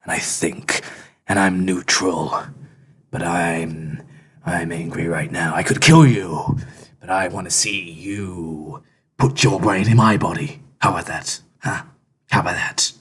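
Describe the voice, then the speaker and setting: A man's voice: sits low, steady tone, a little slow, a bit bright, breathy edge, heavily acted. A man playing a hot-tempered, threatening self-styled rational thinker in a comedy sketch, given to theatrical outbursts. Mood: angry, disbelieving.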